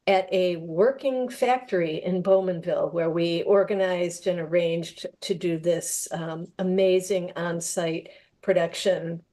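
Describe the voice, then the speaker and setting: Mellow, lowish-voiced woman on a panel. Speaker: panel guest, playwright. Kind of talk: conversational self-introduction on a panel.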